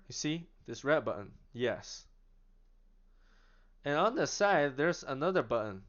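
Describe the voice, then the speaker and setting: A man casually demonstrating radio gear, chatty and animated, laughing now and then as he explains. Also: a little high, smooth, muffled recording. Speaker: product demonstrator. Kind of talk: casual product demo.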